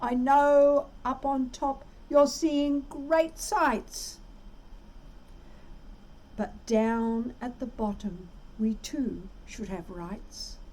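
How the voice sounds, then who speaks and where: Small storybook turtle, acted by a woman: meek, polite, aching, high plaintive voice, slow delivery. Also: darkish tone, slight background noise, poor audio. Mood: pained, pleading. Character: plain little male turtle, meek, pained, pleading. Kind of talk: children's storybook read-aloud.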